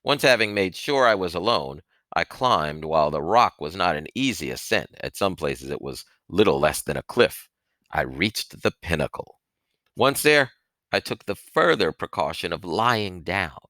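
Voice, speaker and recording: A man reading a novel aloud as expressive first-person narration, with deliberate, dramatic phrasing and emphatic stresses, close on a headset mic. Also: sits low, no echo. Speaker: audiobook reader, book-reading host.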